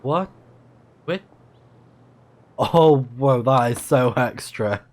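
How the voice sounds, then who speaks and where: A man's voice: medium pitch, extremely slow, darkish tone. A man giving casual live commentary as he plays a video game, thinking aloud. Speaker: game streamer.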